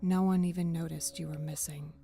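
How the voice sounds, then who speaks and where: A woman's voice: deep voice, fairly even intonation, a little fast, vocal fry, over music. A woman playing a tough, fed-up protective mother in an audio story, blunt and confrontational. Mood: cold dismissal.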